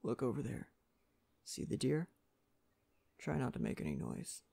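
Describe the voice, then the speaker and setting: A man playing a friendly, folksy lumberjack in a soft-spoken ASMR roleplay, talking gently and reassuringly to the listener. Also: medium pitch, wildly swooping pitch, unhurried, creaky, slightly rough, full character voice. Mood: hushed. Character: friendly Canadian lumberjack, gentle nature-loving father.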